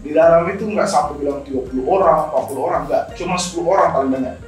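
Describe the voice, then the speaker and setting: Echoey, higher-voiced young man. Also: rapid-fire. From Indonesia. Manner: explanatory, conversational, repeats phrases. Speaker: guest, scholarship alumnus. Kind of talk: informal talk show interview, storytelling. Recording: very echoey room, distant mic, music behind.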